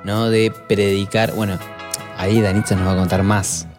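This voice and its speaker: A man's voice: lowish voice, little pitch movement, moderate pace, music in the background. A man in his twenties with an Argentine accent, chatting casually and asking questions as a podcast co-host. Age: 20s. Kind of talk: casual chat, interviewing.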